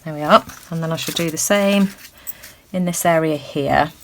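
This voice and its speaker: Croaky woman chatting through a craft demo. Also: sits low, normal speed, a bit bright, a little hiss or hum, poor audio. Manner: chatty, thinks aloud, frequent ums. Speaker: craft tutorial host. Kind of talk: casual crafting demonstration. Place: indoors at a craft table.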